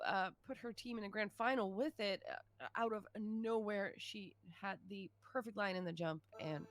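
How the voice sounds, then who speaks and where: A woman giving live, conversational esports match commentary, often pausing mid-sentence as she follows the play. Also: mid-range voice. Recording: clear background noise.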